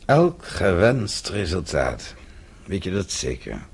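An actor playing a weary but defiant captive businessman in a Dutch radio drama, sardonic and blunt, halting at times. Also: low-pitched, wildly swooping pitch, slightly rough, full character voice.

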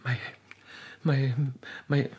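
A man's voice: mid-range voice, level tone, very slow, darkish tone, slightly rough. A man voicing a naive young country girl with an Austrian accent, breathless and gushing, in a radio play. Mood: flustered, awed. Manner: breathless, gushing, stumbling over words.

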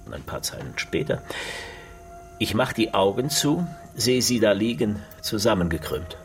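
A male investigator reads aloud with a very animated pitch. His delivery is deliberate and pointed, as in a radio crime drama. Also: soft background music. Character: investigator reading a suspect's diary aloud. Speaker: actor in radio play.

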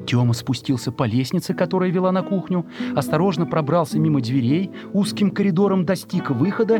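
A man reading a literary story as a narrator, expressively and with emotion, in a scripted dramatic style. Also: on the high side.

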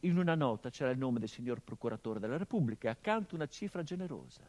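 An actor as a bitter, haunted grieving son. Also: male voice, animated, fast talker, lightly acted. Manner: bitter irony, shifting from loud outbursts to low hushed tones. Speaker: stage actor. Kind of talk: theatrical monologue.

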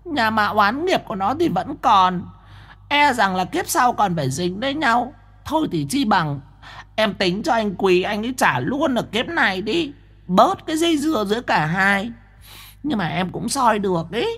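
A woman voicing a chatty, easily frightened young female spirit medium in a breathy voice. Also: mid-range voice, sing-song, normal speed, a bit bright, heavily acted. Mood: confiding. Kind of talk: audiobook character voice.

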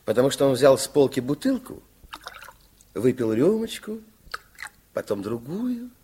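A man's voice: medium pitch, big pitch swings, measured pace, dark tone, slight background noise. A man narrating a radio fairy tale with theatrical, dramatic storytelling.

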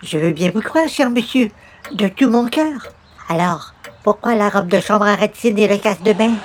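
A man's voice: high voice, moderate pace, close to an everyday voice. Man playing a naive pious caller, fussy ceremonious manners, exclamatory radio comedy delivery, slight background noise. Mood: puzzled. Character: overly polite, pious, naive male religious door-to-door visitor. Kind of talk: old-time radio comedy acting.

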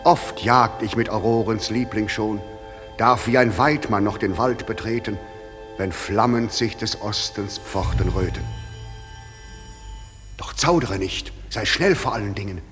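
Stately fairy king acted by a man, low husky voice, long reverb, radio drama verse. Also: unhurried, close to an everyday voice. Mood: solemn, commanding. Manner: stately, declaiming rhymed verse. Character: commanding, solemn fairy king of shadows. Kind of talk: classic German radio play acting. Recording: long reverb with music underneath.

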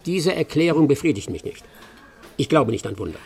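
A stern English detective in a radio play, with a husky, gravel-edged voice pitched a little high. His delivery moves widely in pitch yet stays dry, terse and confident. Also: lively intonation, normal speed, full character voice. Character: stern, self-assured English master detective. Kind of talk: German radio play acting.

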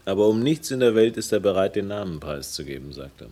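An actor playing a composed, polite investigator figure in a German radio play, dry and understated. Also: male voice, sits low, animated, fast talker, mellow. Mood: inquiring.

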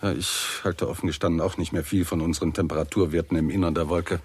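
An actor playing a self-assured, matter-of-fact scientist in a 1960s German radio play, reasoning in a conversational tone. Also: male voice, sits low, steady tone, quick pace, a little mellow, breathy edge, no room sound. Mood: thoughtful.

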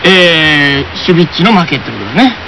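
A man's voice: a little high, sing-song, a little fast, heavy background noise. A man hosting a comic radio game segment, playing around with a character catchphrase.

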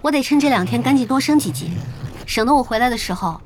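An actress playing a gentle, polite young female gamer in an audio drama, easygoing and earnest. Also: fairly high pitch, animated, quick pace, a little hiss or hum, lightly acted. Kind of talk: Chinese audio drama acting.

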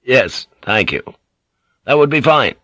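A man voicing an exhausted English sailor turned samurai, speaking slowly and wearily. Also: mid-range voice, big pitch swings, a bit bright, no echo, clean studio sound, voice pushed up, exaggerated voice. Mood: weary, polite. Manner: slow and weary.

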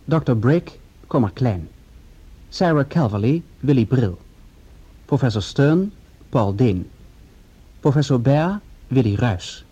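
A man reads scripted credits as a radio drama announcer. He is formal and very measured, with a dark, husky voice. The old recording sounds dull. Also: medium pitch, lively intonation.

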